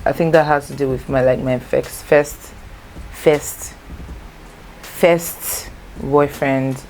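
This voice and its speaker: A very low-voiced young woman with a Nigerian accent talks through a storytime tag in a loose, rambling way, doubling back to correct herself. Her first language is Igbo. There's a faint hum of room ambience behind her. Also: fairly even intonation, takes it slowly, darkish tone. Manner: chatty, rambling, self-correcting. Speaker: vlogger. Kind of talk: casual storytime chat. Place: home, natural light.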